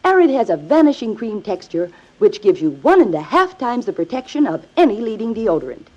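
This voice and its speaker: A woman announcer giving an emphatic, instructional scripted sales pitch in 1950s radio advertising style. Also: big pitch swings, a little fast, darkish tone. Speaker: commercial announcer. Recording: dull, lo-fi old radio recording.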